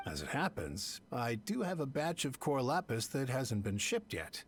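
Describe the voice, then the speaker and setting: A greedy, haggling merchant. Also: male voice, mid-range voice, very animated, moderate pace, slight background noise. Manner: haggling, then pleading. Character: greedy male merchant, turns nervous. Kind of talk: game voice acting.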